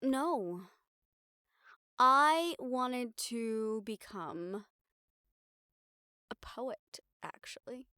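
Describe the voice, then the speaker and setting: A woman's voice: on the high side, animated, drawn-out words, pauses often, bright, forceful tone, smooth, no room sound, clean studio sound, heavily acted. A woman playing a wry, daring young reporter in an intimate audio roleplay, talking to her partner in a sardonic, sighing, casually sweary way. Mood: rueful, reflective. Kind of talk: intimate audio roleplay acting, conversational monologue to the listener.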